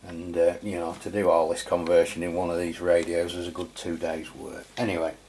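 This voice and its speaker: A man's voice: low-pitched, completely flat delivery, fairly quick, a little mellow, slight background noise. A man giving an informal, matter-of-fact product review as a channel host.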